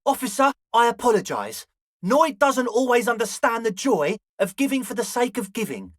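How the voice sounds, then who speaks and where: A man's voice: extremely high pitch, sing-song, normal speed, no room sound, clean studio sound, full character voice. A man voicing an eager, flaky young male slacker ringleader in a video game, talking in an earnest, ingratiating, hedging way. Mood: eager, agreeable. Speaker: voice actor. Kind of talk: video game character dialogue.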